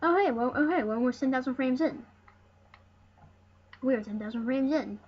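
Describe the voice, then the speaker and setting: A high-voiced speaker giving casual let's play commentary as host of a retro gaming series, rambling and often restarting phrases. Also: normal speed, breathy edge. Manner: rambling, repeats and corrects phrases. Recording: muffled, noisy home recording.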